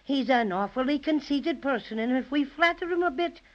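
A dull vintage radio recording of a little bear with a very high voice. The character is kindly, earnest and a bit fretful, guiding politely in old children's serial style. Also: expressive, moderate pace. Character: small, kindly, fretful cinnamon bear guide. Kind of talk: 1930s children's radio acting. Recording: dull, band-limited 1930s radio recording.

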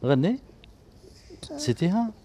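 A gentle, encouraging man with an Algerian Arabic accent hosts a children's TV show from an indoor studio set, holding a handheld mic. His voice moves lively up and down as he coaxes the kids, and a faint hiss sits under it. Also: sits high, unhurried. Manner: gentle, encouraging, repeats praise over and over. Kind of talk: coaxing kids on stage.

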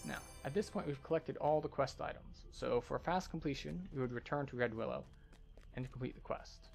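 A man calmly explaining his way through a video game as a tour guide, in a gameplay commentary style. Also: medium pitch, moderate pace, vocal fry. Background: game music.

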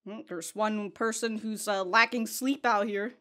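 A woman's voice: medium pitch, fairly even intonation, unhurried, sharp tone. A young adult woman giving casual, blunt reaction commentary with amused, sweary asides, close on a desk microphone in a small home room. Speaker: anime reaction host. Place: small home bedroom studio.

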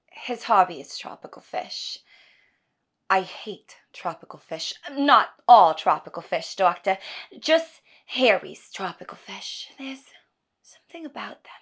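A woman performing a theatrical monologue as an exasperated, sarcastic wife venting to her doctor, emphatic and swinging between hushed and loud. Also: mid-range voice, expressive, takes it slowly, pauses often, breathy edge, heavily acted.

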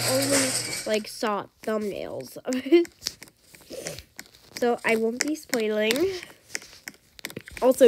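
A young woman with a stuffed-up, congested voice talks her way through a game, unhurried and drawn out. Her voice sits fairly high, and she fills her sentences with "like". Steady background noise hums under the recording. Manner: chatty, slow-paced, says "like" often. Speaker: gaming channel host. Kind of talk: casual gameplay commentary.

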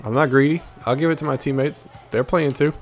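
A man's voice: on the high side, fairly even intonation, brisk. A man giving casual, slangy gameplay commentary, cocky and playful with plenty of trash talk. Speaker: gaming video host.

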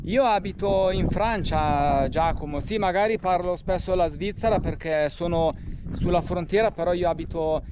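A man's voice: high voice, moderate pace. A man talking casually over CB radio as a hiker and hobbyist, recorded outdoors on a windy mountain summit. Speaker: hiking vlogger and radio hobbyist.

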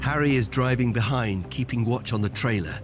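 A man's voice: mid-range voice, normal speed, loud music behind the voice. A man reading scripted narration as a documentary narrator.

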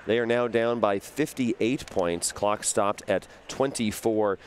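A man's voice: fairly low pitch, slow, a little hiss or hum. A man commentating a live roller derby game, explaining tactics and reading promos and notes. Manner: analytical, reads promos and notes. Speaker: sports commentator.

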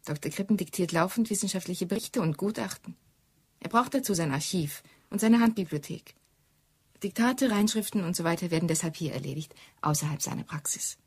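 An actress playing a self-assured, well-mannered young secretary, formal and evasive, in a radio play. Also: female voice, fairly low pitch, fairly quick, slightly rough. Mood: cool, guarded. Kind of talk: German radio drama dialogue.

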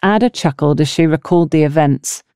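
Female audiobook narrator, lowish mellow voice, animated storytelling delivery, dry close sound. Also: fairly quick, smooth, dry recording. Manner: expressive storytelling with acted dialogue. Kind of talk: scripted novel narration.

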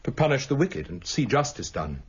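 An actor playing a cerebral, grave British gentleman detective in a radio drama, speaking formally and articulately. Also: mid-range voice, sing-song, normal speed, husky, muffled recording. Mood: subdued.

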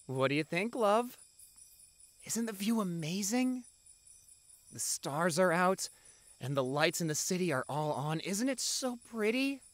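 A young man playing a devoted doctor boyfriend in a roleplay audio drama, speaking softly and affectionately with some hesitation. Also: sits high, big pitch swings, a little slow, pauses often, no room sound, exaggerated voice. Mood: tender, excited. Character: tender, devoted doctor boyfriend on an anniversary date. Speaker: voice actor.

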